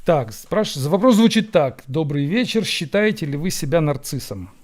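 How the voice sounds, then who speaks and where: Reflective man with a mellow voice. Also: a little high, lively intonation. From Ukraine. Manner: reflective, with frequent pauses and fillers. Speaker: host answering viewer questions. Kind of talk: Q&A monologue. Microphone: camera setup.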